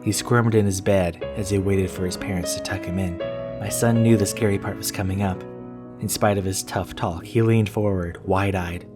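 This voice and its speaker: A man reading a scripted first-person horror story in a deliberate storytelling manner. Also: low voice, steady tone. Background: plucked guitar music.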